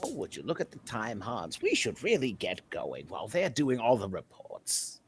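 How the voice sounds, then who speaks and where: A man's voice: mid-range voice, wildly swooping pitch, normal speed, a little hiss or hum. A man playing a tabletop role-playing game, talking in a wry, sly way. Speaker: player. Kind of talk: casual tabletop chat.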